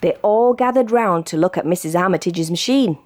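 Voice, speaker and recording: A woman with a British accent reading a children's storybook aloud in an expressive narrating style. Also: fairly low pitch, fairly quick. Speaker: storybook reader.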